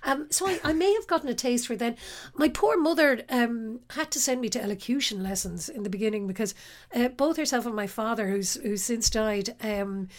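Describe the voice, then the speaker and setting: A middle-aged Irish woman from the west of Ireland, an actress telling stories from her life in a relaxed interview, self-deprecating and quick to laugh. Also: fairly high pitch. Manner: warm, self-deprecating storyteller, laughs often. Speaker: actress guest.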